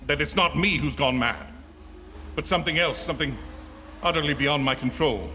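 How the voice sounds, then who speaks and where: Anxious radio-thriller traveler, forceful, echoing hall. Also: male voice, on the high side, normal speed, a little hiss or hum. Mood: urgent, uneasy. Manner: urgent, confessional, dramatic delivery. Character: anxious 36-year-old traveler recounting an uncanny ordeal. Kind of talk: old-time radio thriller acting. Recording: echoey theatre hall with music underneath.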